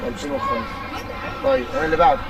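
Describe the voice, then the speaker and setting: A man with an Egyptian accent, a football coach running youth tryouts on an outdoor pitch, briskly calling out orders and questions. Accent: Egyptian Arabic. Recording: distant mic, loud crowd. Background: crowd of children and parents.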